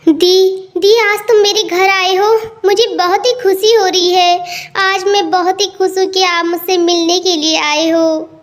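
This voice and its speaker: A woman's voice: very high-pitched, level tone, a little slow, voice pushed up. A woman voicing a sweet, contented poor younger sister in a cartoon tale, earnest and affectionate. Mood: delighted.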